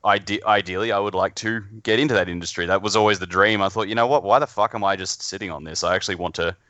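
Low, clear Australian man, bright voice. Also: steady tone, fast, no echo. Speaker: podcast guest. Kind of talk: casual chat.

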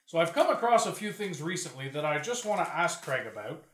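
A man's voice: a little high, sing-song, brisk, fairly bright tone. A Canadian man chatting casually on a podcast as he puts questions to others, informal and loose with his language. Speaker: podcast co-host asking questions. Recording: roomy echo.

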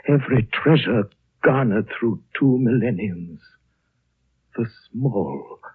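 An actor playing an ancient, wise and grave lama with a husky voice, solemn and oratorical in a 1930s radio drama style. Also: male voice, on the low side, lively intonation, takes it slowly, exaggerated voice. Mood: grave foreboding. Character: ancient wise High Lama, gentle, grave, foreboding prophet. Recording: muffled vintage 1930s radio recording.

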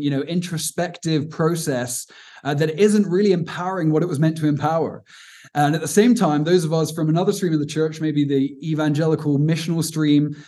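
A man's voice: fairly high pitch, dark tone. A man from Cornwall with an English accent, giving an earnest, informal teaching talk on prayer as a guest speaker, with frequent fillers and restarts. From Cornwall, southwest England.

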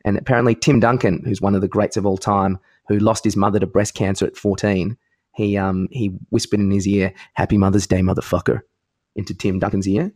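A man's voice: on the low side, fairly even intonation, fairly quick, darkish tone. An Australian man co-hosting a podcast, chatting casually about sport and retelling anecdotes in a joking, sweary way. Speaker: podcast co-host.

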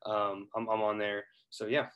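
A man's voice: lowish voice, flat delivery, moderate pace, dull audio. A man in his thirties from Ohio, a guest in a casual interview, talking earnestly with lots of hesitations. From Ohio, United States. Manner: earnest, hesitant, many fillers and restarts.

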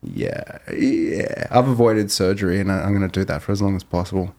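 Aussie guy on a podcast, chill and thoughtful, bit low voice. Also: fairly quick. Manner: relaxed, reflective, lots of fillers. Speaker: podcast guest. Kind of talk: casual podcast chat.

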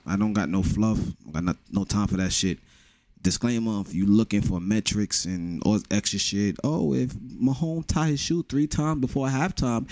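A man's voice: lowish voice, a little mellow, no echo. A young man with a New York accent, hosting a casual solo podcast with blunt, profane joking. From the Bronx, New York. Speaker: podcast host.

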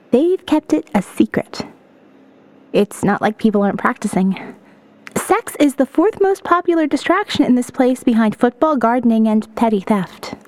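A woman playing a young survivor of an apocalypse, narrating her diary in a measured, introspective way for an audio novel. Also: fairly high pitch, a little mellow, no echo, natural voice. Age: young adult. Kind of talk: first-person dramatic audio novel narration.

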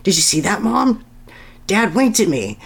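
An adult reader voicing an excitable young boy hedgehog, eager and wide-eyed, in a storybook reading. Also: medium pitch, measured pace, creaky, breathy edge, pitched above the performer's own voice, heavily acted. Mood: excited.

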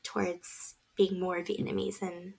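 A woman's voice: lowish voice, level tone, a little slow, creaky, a little mellow, breathy edge. A woman in her thirties, a podcast guest, talking in an earnest, reflective way with many fillers. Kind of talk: casual conversation.

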